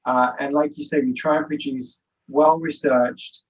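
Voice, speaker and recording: A man's voice: medium pitch, fairly even intonation, unhurried. A man with a British accent speaking as an interview guest, explaining his work. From United Kingdom. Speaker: podcast guest, nonprofit director. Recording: distant mic, faint background noise.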